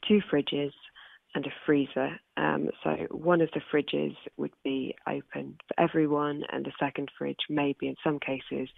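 A British woman explaining as an interviewee on radio, measured and hedging in her manner, over a phone-like line. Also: sits low, creaky, no echo. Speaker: spokesperson for an environmental charity, interviewee.

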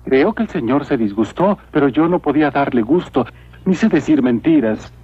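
A man playing a humble, honest young villager in a cartoon, speaking earnestly and politely with gentle deference. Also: medium pitch, lively intonation, moderate pace, husky. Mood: earnest, cheerful. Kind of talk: dubbed cartoon acting. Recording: muffled lo-fi old cartoon soundtrack.

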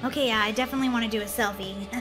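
An excitable, giggly woman hosting a gaming video with playful commentary and lots of exclamations. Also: fairly high pitch, very fast. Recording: music under the voice. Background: music.

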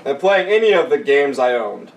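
A man's voice: mid-range voice, big pitch swings, normal speed, vocal fry, a loud crowd. A man in his twenties bantering casually with slang and swearing, sometimes reading a story aloud. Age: 20s. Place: small room, group on couches. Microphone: far camera. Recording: distant camera mic, room noise.

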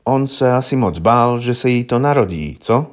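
A man's voice: mid-range voice, lively intonation, natural voice. A man voicing a polite, candid police investigator in an audiobook, asking questions in a deferential, coaxing, colloquial way. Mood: curious. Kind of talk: audiobook character voice.

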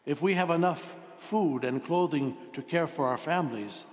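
Older priest preaching in a reverberant church. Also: male voice, on the high side, moderate pace. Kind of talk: sermon. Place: large church, indoors at the altar. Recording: long reverberant church echo.

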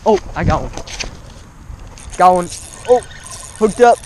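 A young man fishing outdoors beside a pond, talking straight into a wearable action camera. His voice sits a little high, and he breaks into excited exclamations whenever a fish bites. A faint hiss runs under the outdoor recording. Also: slow. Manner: excitable, bursts into exclamations on each catch. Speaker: fishing vlogger. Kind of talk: live running commentary while fishing.

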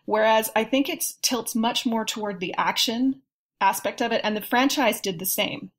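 A woman with a mid-range voice talks as a guest on a panel. She is articulate and measured, setting out firm opinions in a casual discussion. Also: a little high.

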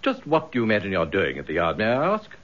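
An actor playing a stern, haughty senior British police commissioner in a radio drama, pompous, cold and imperious. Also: male voice, lowish voice, wildly swooping pitch, fast, a bit bright, full character voice. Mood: curt, irritated. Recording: slightly dull radio drama recording.

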